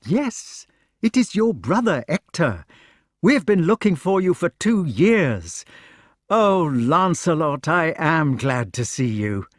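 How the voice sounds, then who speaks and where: A man voicing an eager, warm-hearted young knight who talks loudly and excitedly. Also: unhurried, hardly any pauses, slightly rough, no echo, clean studio sound, heavily acted. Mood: overjoyed. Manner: loud, excited, pitched high.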